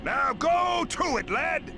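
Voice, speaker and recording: A man voicing a blustery, money-minded older crab boss in a cartoon video game, gravelly, hearty and bossy, with sailor-like talk. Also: very high-pitched, lively intonation, slow pace, background noise, exaggerated voice. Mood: bossy, brisk.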